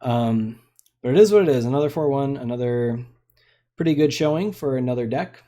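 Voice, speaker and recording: A man's voice: mid-range voice, lively intonation, brisk, mellow. Laid-back man commenting on his card game. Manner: laid-back, rambling, lots of fillers. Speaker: streamer playing a card game. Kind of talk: casual gameplay commentary.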